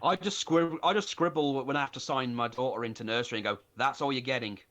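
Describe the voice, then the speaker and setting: A man chats casually among fellow tabletop players. He rambles along in a self-deprecating manner, with a medium-pitched voice. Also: lively intonation, quick pace, fairly bright tone. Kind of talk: casual chat.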